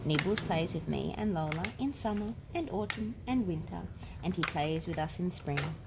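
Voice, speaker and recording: A woman's voice: on the low side, animated, normal speed. A woman narrating a children's picture book aloud as a storyteller. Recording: clear background noise.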